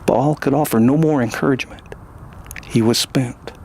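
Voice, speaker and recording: A man narrating a scripted science fiction story in a measured, dramatic manner. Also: medium pitch, a little mellow, a little hiss or hum.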